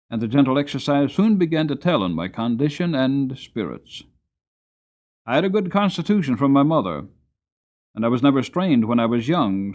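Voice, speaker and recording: A man narrating an audiobook story in the first person, with a dramatic, gentle storytelling manner. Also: medium pitch, lo-fi sound.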